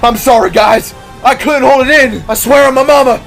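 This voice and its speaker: Audio drama sidekick, played by a man: nervous and apologetic, higher-pitched, stuttery, pleading delivery. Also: normal speed, fairly bright tone, soft background music. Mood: desperate apology.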